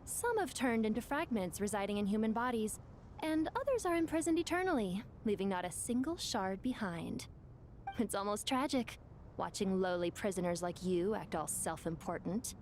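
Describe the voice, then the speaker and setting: A woman's voice: medium pitch, very animated, moderate pace, a little hiss or hum, close to an everyday voice. An actress voicing a haughty, mocking female servant spirit, a theatrical and condescending video game villain. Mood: scornful.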